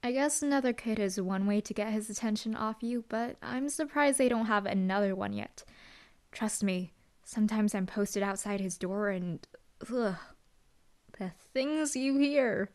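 A woman's voice: mid-range voice, measured pace, darkish tone, close to an everyday voice. A young woman voicing a dry, teasing female hellhound demon bodyguard in an audio roleplay. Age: young adult. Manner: dry, teasing, laid-back.

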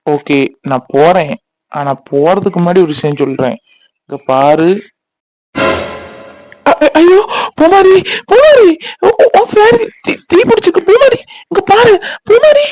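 An actor playing an earnest, persistent young bridegroom in a Tamil serial, talkative and pleading in a boyish way. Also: male voice, a little high, moderate pace, darkish tone, no echo, close to an everyday voice, rough recording. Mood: alarmed. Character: earnest, persistent young bridegroom devoted to his scornful wife.

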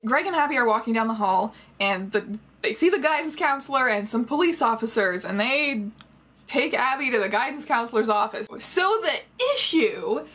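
High-voiced, slightly husky young woman, sarcastic. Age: 20s. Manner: sarcastic, animated storytelling with mocking quotes. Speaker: vlogger reviewing a book. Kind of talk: casual scripted-loose commentary to camera. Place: small bedroom, indoors.

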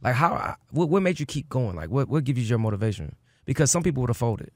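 A man's voice: medium pitch, sing-song, fast, darkish tone, no echo. A young man hosting a radio interview, playful and slangy with a hype delivery, in a studio. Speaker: radio host. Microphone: studio mic on boom arm.

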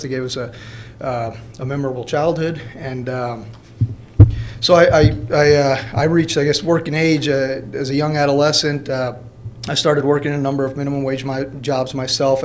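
A man in his thirties speaks informally into a podium mic in a meeting room. His pitch is average and stays quite level. He talks plainly and haltingly as he shares a personal account, with noticeable room noise behind him. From born in Mexico, grew up in Peoria, Illinois. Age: 30s. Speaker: college alumnus guest speaker. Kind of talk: personal story told at a podium. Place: indoor college meeting room. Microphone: podium gooseneck microphone.

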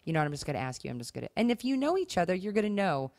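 A woman with a fairly low voice talks as a podcast co-host in a very dry, close recording. She makes her points emphatically and voices example phrases as she goes. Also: big pitch swings, rapid-fire, darkish tone, no room sound. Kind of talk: conversational talk on marriage advice.

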